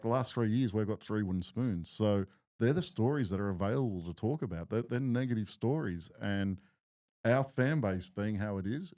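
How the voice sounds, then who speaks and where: A man's voice: on the low side, a little mellow. A man, co-hosting a casual sports podcast and arguing his view steadily. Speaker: podcast co-host.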